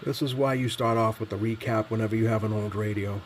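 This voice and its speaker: A man giving casual, unscripted commentary as a repair technician, pausing often with many ums as he goes. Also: lowish voice, steady tone, a little hiss or hum. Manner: halting, hesitant delivery heavy with ums and pauses. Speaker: repair technician presenting his bench work.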